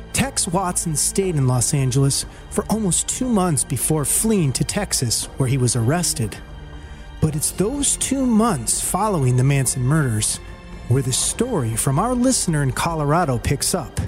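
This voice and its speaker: American male narrator, slightly high voice with very varied pitch, scripted documentary storytelling. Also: a little mellow.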